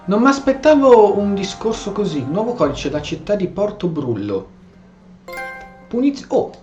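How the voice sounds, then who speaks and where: A man's voice: high voice, sing-song, moderate pace, a little mellow, soft background music. An Italian man giving casual, rambling commentary on a video game playthrough, often interrupting himself as he reacts. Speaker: gaming YouTuber. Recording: roomy, dull mic, faint noise.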